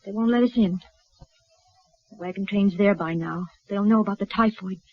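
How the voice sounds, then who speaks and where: A woman's voice: mid-range voice, moderate pace, close to an everyday voice. An actress playing a desperate, defiant American frontier mother in a radio western, talking in a terse, guarded, rustic way. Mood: weary, hopeless. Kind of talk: 1950s radio western acting. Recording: dull vintage radio recording.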